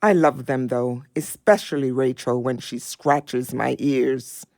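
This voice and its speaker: A woman playing a gentle, hopeful dog in an audio drama, calm, measured and tender. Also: extremely low pitch, vocal fry, no room sound, heavily acted.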